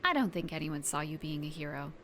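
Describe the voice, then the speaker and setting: Casting: actress as reluctant young female superhero, casual, lowish animated voice, audio drama. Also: fast talker, slight background noise. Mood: relieved, grateful.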